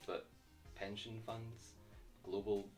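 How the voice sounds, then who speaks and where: A man's voice: on the low side, little pitch movement, slow pace, a little mellow. A man talking as a guest in a casual chat, explaining his views confidently and with emphasis. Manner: confident, emphatic, some restarts. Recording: faint voice from far off the mic, light music.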